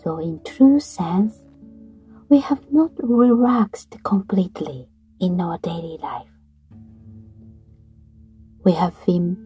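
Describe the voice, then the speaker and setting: A woman guiding a breathing meditation in a slow, soft voice that often drops to a whisper. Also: lowish voice, breathy edge, lo-fi sound. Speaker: meditation guide. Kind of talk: guided meditation narration. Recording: soft guitar music behind.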